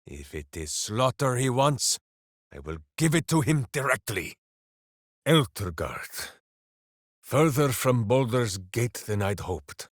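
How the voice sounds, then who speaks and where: Casting: boisterous, earnest berserker hero, fantasy game; unhurried delivery, wildly swinging pitch. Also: male voice, big pitch swings, no room sound, studio quality. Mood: gruff, earnest. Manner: bombastic, earnest, talks of himself in third person. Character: big, simple, good-hearted berserker hero, boisterous and heroic. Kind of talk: fantasy video game voice acting.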